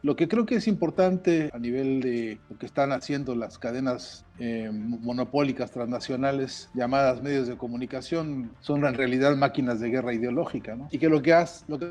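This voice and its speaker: A man giving measured academic analysis, pausing on fillers. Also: mid-range voice, darkish tone, faint music behind. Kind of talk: academic commentary.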